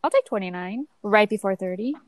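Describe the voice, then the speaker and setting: A woman in her thirties from Miami on a casual podcast, with dry, deadpan humor. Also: medium pitch, fairly quick, no room sound. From Miami, United States. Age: 30s. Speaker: podcast co-host. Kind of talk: casual chat among friends.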